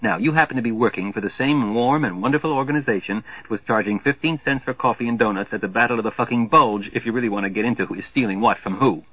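A man voicing a cocky young sailor in a calm, sneering tough-guy manner, in a dry, slightly dull recording. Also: medium pitch, quick pace, few pauses, slightly rough, dry recording, lightly acted.

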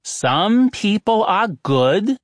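Guy doing a cranky know-it-all old oracle, pitched up, lectures like he's the smartest one in the room. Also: wildly swooping pitch, normal speed, dry recording, studio quality, exaggerated voice. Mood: preachy. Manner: lofty, exasperated, lecturing. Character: pompous, irritable old male oracle.

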